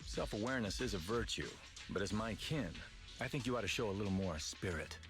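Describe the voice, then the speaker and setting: A man's voice: on the low side, expressive, fairly quick. A man voicing a proud, disdainful veteran tiger actor in a game, pompous and theatrical. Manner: pompous, grandiose. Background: game music.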